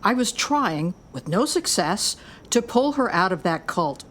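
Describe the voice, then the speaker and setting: A woman's voice: mid-range voice, big pitch swings, measured pace, fairly bright tone, slight background noise. An actress playing a tough, blunt American record label boss and worried mother, middle-aged, in an audio drama. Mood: worried, urgent.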